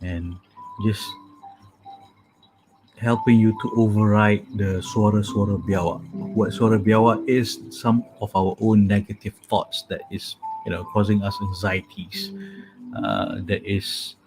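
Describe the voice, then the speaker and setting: Man, calming soothing voice, low-pitched, very slow, hesitant fillers, calm music behind. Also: poor audio. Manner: soft-spoken, hesitant with many fillers. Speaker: host. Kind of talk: casual spoken sleep meditation talk.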